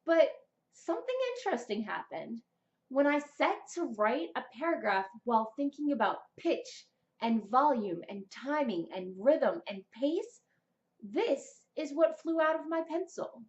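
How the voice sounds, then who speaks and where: A young adult woman presenting a scripted vlog of writing tips in an expressive, deliberate public-speaking manner. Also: high voice, highs cut off. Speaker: vlogger, writer and amateur voice actor. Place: small plain indoor room, seated.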